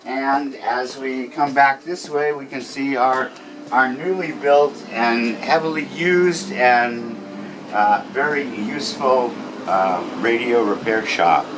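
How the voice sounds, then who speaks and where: A man giving an informal, welcoming walk-through tour in a halting way, as a guide showing visitors around. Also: medium pitch, noisy background. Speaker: tour guide showing a radio society museum. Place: walking through museum building during a public event. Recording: echoey rooms with busy crowd chatter. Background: crowd chatter.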